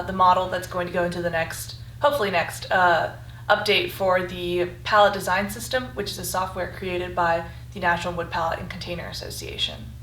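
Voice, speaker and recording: A woman in her twenties, a graduate student from Costa Rica, answering interview questions in a relaxed way with many fillers. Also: mid-range voice. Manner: easygoing, many fillers and likes. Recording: echoey room with faint hum.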